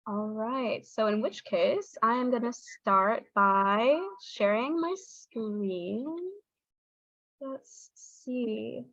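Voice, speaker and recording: A woman's voice: fairly high pitch, drawn-out words, fairly bright tone, dry recording. A young woman with a Southern US accent gives an online workshop talk over a video call, chatty and apologetic with frequent ums. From Southern United States. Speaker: guest speaker, English teaching assistant. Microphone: video call on phone or computer. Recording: dull, dry video call audio.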